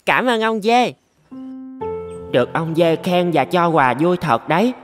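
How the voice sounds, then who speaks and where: An actor playing a playful, mischievous little boy elephant in a children's cartoon, with a childlike, expressive delivery. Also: medium pitch, measured pace, lightly acted. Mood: bashful. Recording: music bed behind the voice.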